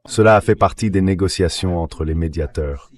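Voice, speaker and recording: Male expert guest, very low voice, measured explanations, translated synthetic voice-over. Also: darkish tone. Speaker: expert guest, international relations professor. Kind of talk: translated voice-over of political analysis.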